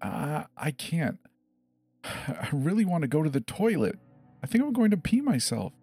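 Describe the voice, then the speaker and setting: A man's voice: on the high side, sing-song, a little slow, warm tone, slightly rough, dry recording, faint music behind, pitched above the performer's own voice, heavily acted. A man voicing a scared seven-year-old boy in a dramatized horror story, hesitant and pleading, stammering over his words. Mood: anxious.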